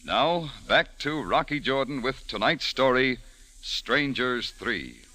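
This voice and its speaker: A male American announcer in the old-time radio manner. His bright, forceful voice moves through a wide range at medium pitch, polished and emphatic. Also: lively intonation, takes it slowly, no echo, soft background music. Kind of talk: 1940s radio announcing, scripted promo.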